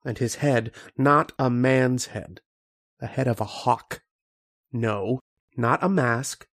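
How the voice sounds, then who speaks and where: A man playing a grave, haunted archaeologist in old-time radio horror, with dramatic, deliberate delivery. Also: medium pitch, a bit bright. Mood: awed, horrified.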